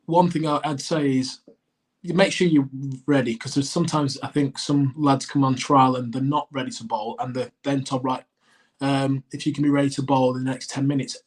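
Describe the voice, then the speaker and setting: Croaky-voiced man. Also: mid-range voice. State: croaky, itchy throat. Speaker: podcast co-host. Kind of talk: casual podcast chat.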